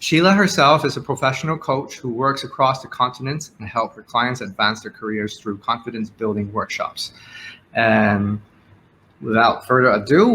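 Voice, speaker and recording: Bright, halting man hosting a panel. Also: medium pitch, a little hiss or hum, poor audio. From Hong Kong. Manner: hesitant, many fillers and repeated words. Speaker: host, moderator.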